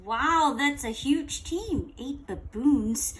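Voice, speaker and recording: A woman reading a picture book aloud to a child in a playful, expressive way, drawing out words and asking questions. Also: high voice, slow. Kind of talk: animated bedtime read-aloud with questions to a child.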